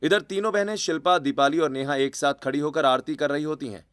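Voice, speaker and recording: A man's voice: fairly high pitch, fairly even intonation, fairly quick, fairly bright tone, clear, resonant tone, no echo. A man reading out scripted story narration.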